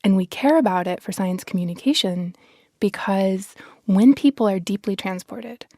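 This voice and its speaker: A woman, a podcast guest explaining science in an expressive, articulate, conversational way. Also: mid-range voice, moderate pace, no room sound, clean studio sound.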